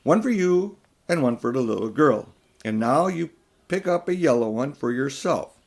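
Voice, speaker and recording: A man's voice: animated, normal speed. A man voicing a haughty, manipulative older uncle who is a magician in a children's audiobook, speaking in a condescending, smug way. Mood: cool, instructive. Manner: high and mighty, condescending, coolly smug. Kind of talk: children's audiobook character reading.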